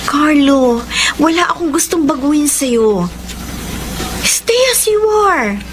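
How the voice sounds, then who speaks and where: A woman's voice: high voice, takes it slowly, a little hiss or hum. A Filipino woman acting as a heartbroken, exasperated young woman in a dramatic Tagalog radio romance. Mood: flustered, resisting. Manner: dramatic, expressive. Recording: background music under voice.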